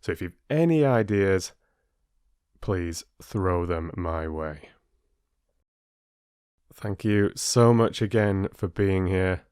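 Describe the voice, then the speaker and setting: A male storyteller with a fairly low voice and a very slow, deliberate delivery. He phrases expressively, as in an audiobook, and addresses listeners as their host. The recording is close and dry, with no room sound. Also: animated, darkish tone, clean tone, no room sound.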